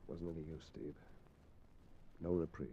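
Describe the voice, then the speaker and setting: An actor playing a gentle, sorrowful prison chaplain with a dark, deep voice in a mid-century radio drama. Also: male voice, fairly even intonation, normal speed, husky, full character voice. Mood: solemn, sorry. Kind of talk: 1940s-50s American radio drama acting. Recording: dull, hissy old radio recording.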